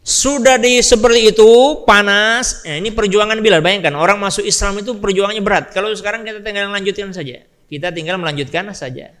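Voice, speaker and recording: A man's voice: high voice, a little fast, fairly bright tone. A man preaching in a storytelling style, emphatic, repeating phrases and putting questions to his listeners. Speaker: Islamic preacher. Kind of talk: storytelling sermon to a live congregation. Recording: long hall reverb.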